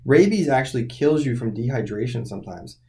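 A man's voice: mid-range voice, expressive, fairly quick, dark tone. A man on a casual podcast explaining things at length, with lots of fillers. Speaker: podcast co-host.

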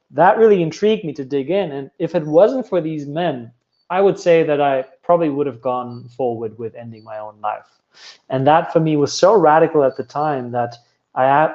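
A German-accented man in his thirties shares his personal story with an audience, earnest and candid, often restarting and repeating himself. His voice sits a little high for a man. The recording is dull and lo-fi. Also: darkish tone. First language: German or Italian. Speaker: speaker telling his life story. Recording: dull lo-fi sound.

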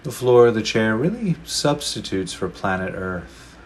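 Deep-voiced guy guiding a meditation, slow and soft with long pauses, faint hiss behind. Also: very animated. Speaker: meditation teacher. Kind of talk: guided meditation.

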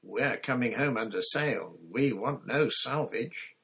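A man's voice: medium pitch, lively intonation, takes it slowly, vocal fry, fairly bright tone, husky, heavily acted. A man voicing a bold, proud tomboy girl who plays at pirates, with an emphatic, defiant manner. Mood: indignant.

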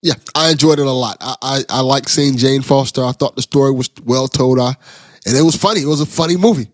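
A man's voice: medium pitch, fast, no echo, clean studio sound. A man hosting a podcast, chatting casually and enthusiastically with a guest. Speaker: podcast host. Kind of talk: casual interview chat.

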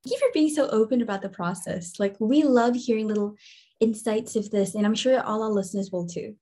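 A woman's voice: a little high, brisk, mellow. A woman co-hosting a casual interview, chatty, informal and enthusiastic with her questions and comments. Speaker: show co-host.

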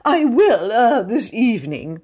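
A woman voicing a pompous, sanctimonious young gentleman poet who talks in an affected, high-pitched voice full of hesitant pauses. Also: sing-song, slightly rough, full character voice. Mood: pompous, gushing.

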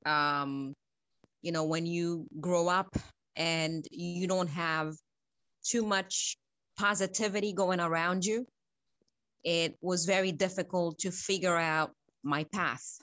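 A woman's voice: on the low side, fairly even intonation, slow, a bit bright, dry recording. A middle-aged woman from Haiti, an interview guest telling her own story in an earnest, reflective way. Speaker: guest, school founder.

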